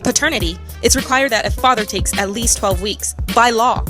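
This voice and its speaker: A woman's voice: a little high, normal speed. An American woman presenting a travel show in scripted voice-over narration. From United States. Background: music.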